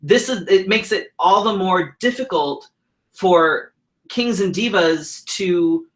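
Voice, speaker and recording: A man's voice: high-pitched, lively intonation, takes it slowly. A man co-hosting a talk show, speaking bluntly and indignantly with frequent swearing. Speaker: co-host, drag king performer. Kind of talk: heated casual chat.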